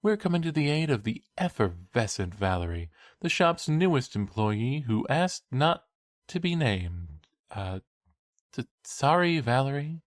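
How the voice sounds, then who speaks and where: An actor playing a cheeky, enthusiastic college student who hosts a true-crime podcast in an audio drama. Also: male voice, mid-range voice, sing-song, measured pace, no echo, studio quality. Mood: cheeky promo. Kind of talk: conversational audio drama acting as podcast host.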